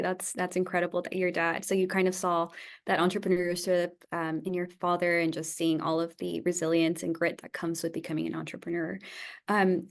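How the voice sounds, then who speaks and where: A woman hosting an online fireside chat, affirming her guest and asking loosely phrased questions. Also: lowish voice. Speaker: interviewer, host.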